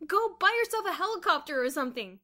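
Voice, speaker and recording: A woman's voice: a little high, expressive, fast, a bit bright, voice pushed up. A woman voicing a reluctant, gloomy schoolboy sidekick who protests and doubts in a children's storybook. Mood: panicked. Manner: dubious, protesting.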